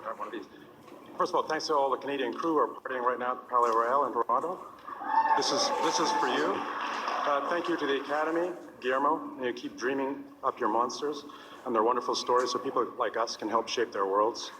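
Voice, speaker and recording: A man hurries through an acceptance speech, rattling off names to thank at a fast clip. He sounds far away in a large reverberant hall. Broadcast noise sits clearly under him. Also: medium pitch. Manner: rushed list of thanks. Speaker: award winner.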